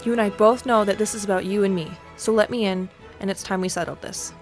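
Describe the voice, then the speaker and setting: A woman playing a determined, take-charge high school girl in an audio drama. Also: fairly even intonation, fast talker, soft background music, lightly acted. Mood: firm, concerned.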